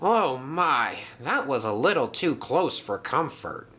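A man's voice: medium pitch, big pitch swings, slow pace, pushed and bright, full character voice. A man playing a fussy, earnest station official, insistent about the rules, in a children's story. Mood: breathless.

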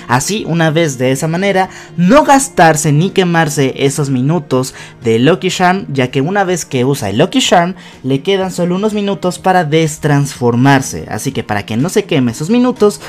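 A young man with a slightly high voice narrates an episode breakdown as an online host. He explains point by point in a conversational, emphatic way. Music plays softly behind him. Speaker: YouTube host. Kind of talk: narrated cartoon episode breakdown, countdown list. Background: background music.

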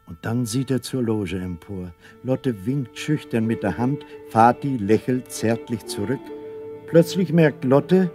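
A man's voice: mid-range voice, darkish tone. A man narrating a scripted children's radio play as a storyteller.

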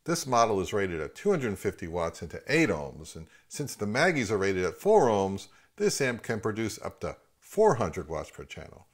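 A man's voice: lowish voice, wildly swooping pitch, moderate pace, hardly any pauses, slightly rough. A man in his fifties giving scripted commentary on products as the host of a review channel.

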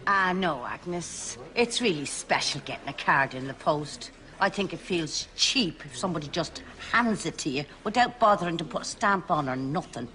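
An older actress playing a dim, earnest neighbour in a TV sitcom, with a Dublin accent. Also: female voice, deep voice, expressive, normal speed, hardly any pauses, bright, forceful tone. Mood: earnest, naive. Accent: Irish, Dublin. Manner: naive, earnest. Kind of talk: studio sitcom acting.

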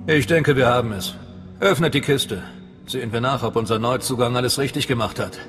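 An actor playing a matter-of-fact, wary male resistance fighter in a video game, speaking in a measured way. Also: mid-range voice, music in the background, lightly acted. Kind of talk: dramatic video game acting.

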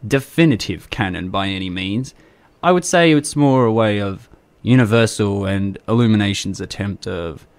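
A man with an Australian accent co-hosting a radio chat show, giving his take on a film in measured, slightly formal phrasing. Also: on the low side. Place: community radio studio.

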